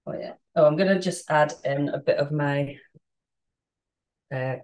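A woman's voice: low voice, measured pace, a little mellow. A woman in her thirties with a London accent, chatting casually while cooking live in her home kitchen, rambling with lots of fillers and restarts. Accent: London English. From England. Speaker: vegan cook and host. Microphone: camera on the counter, a few feet away.

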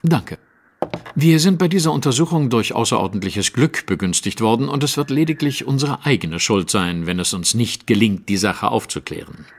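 An actor playing a self-assured gentleman detective in an audio drama, speaking formally and precisely. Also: mid-range voice, lively intonation, normal speed, big-room sound. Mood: composed, assured. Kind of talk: German audio drama acting.

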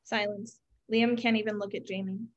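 A woman's voice: mid-range voice, level tone, normal speed. A young woman narrating a play's stage directions from a script over a video call. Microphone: computer mic on a video call. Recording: dull, lo-fi video-call audio.

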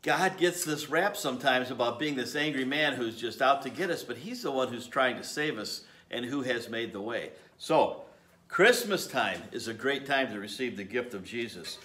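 A man's voice: medium pitch, animated, normal speed, a bit bright. A middle-aged man in his fifties giving an earnest sermon from his home garage, gesturing as he speaks to a camera at desk distance. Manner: earnest, gestures with his hands while preaching. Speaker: lay preacher.

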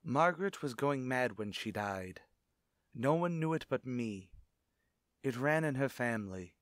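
A man's voice: mid-range voice, lively intonation, a little slow, no room sound, studio quality, natural voice. A man playing a brooding, secretive widowed father in formal, grave, melodramatic old-time radio suspense style. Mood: grave, confiding. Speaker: radio drama actor.

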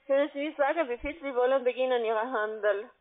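A woman with a foreign accent in non-native German, giving a call-centre sales pitch over the phone. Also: quick pace. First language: not German. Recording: narrow-band phone line.

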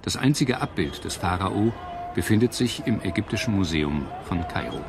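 A man reading scripted documentary narration in a measured, solemn manner. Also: sits low, lively intonation, creaky.

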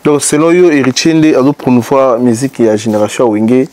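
A man's voice: mid-range voice, a little fast, a little mellow, low-quality recording. A young man interviewing a guest in a small studio, asking questions and cutting in often, on a lapel mic. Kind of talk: casual interview chat. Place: small indoor studio set.

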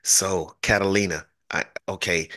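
A man's voice: low-pitched, fairly even intonation, unhurried, pushed and bright, no room sound, studio quality. A middle-aged man hosting a podcast over a video call, effusive and grateful, often repeating his words. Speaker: podcast host. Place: home studio, indoors. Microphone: studio mic on a video call.

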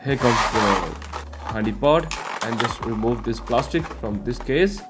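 A man's voice: medium pitch, lively intonation, measured pace, roomy sound, loud music behind the voice. Chatty Indian man unboxing tech. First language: not English. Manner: chatty, many fillers like "you know". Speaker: tech reviewer. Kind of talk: casual unboxing review. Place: indoor studio room with curtains.

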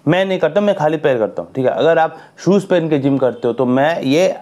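Young male fitness vlogger, high voice, earnest and scolding, lapel mic in a small room. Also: brisk. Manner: earnest, lively hand gestures, scolding advice. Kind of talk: casual talk to camera. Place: small indoor room with a decorated wall.

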